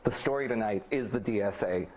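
A man's voice: lowish voice, a little fast, darkish tone, poor audio. A man arguing a pointed political case on a cable news panel, heard in a played-back clip. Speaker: political commentator.

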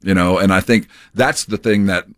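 A man from Texas co-hosting a radio talk show, chatting casually and telling stories in an animated way. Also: deep voice, normal speed, dry recording, studio quality. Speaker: radio host.

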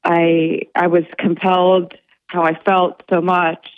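A woman being interviewed as an artist on the radio, talking in a halting way with many fillers and restarts. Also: fairly low pitch, little pitch movement, no room sound. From United States. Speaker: guest artist. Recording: dry, phone-like line.

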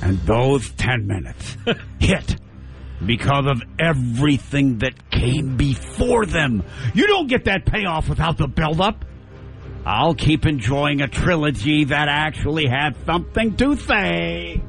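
Brief: slightly high-pitched male host, defending his side with conviction. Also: expressive, vocal fry, faint music behind. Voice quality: deep voice. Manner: argumentative, drawn-out filler "uh"s when hosting. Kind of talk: hosting and reading a scripted debate.

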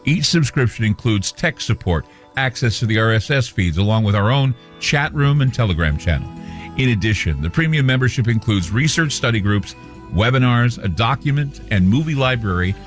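A man's voice: mid-range voice, expressive, normal speed. A man, the host of his own show, reading a promotional spot in a confiding, conspiratorial tone. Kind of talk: promotional read. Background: faint music.

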